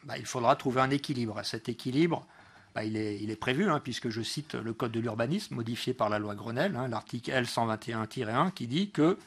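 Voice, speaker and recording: Man, medium-pitched voice, councillor's political speech, halting delivery, frequent pauses and restarts. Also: breathy edge. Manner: hesitant delivery, frequent pauses and self-corrections. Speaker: local councillor. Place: council meeting room.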